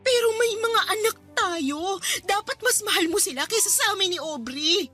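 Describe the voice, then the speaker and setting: A woman's voice: very high voice, lively intonation, moderate pace, sharp tone, faint music behind, exaggerated voice. A woman playing a bitter, combative wife and mother in a radio drama, sarcastic and confrontational. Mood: hurt, demanding.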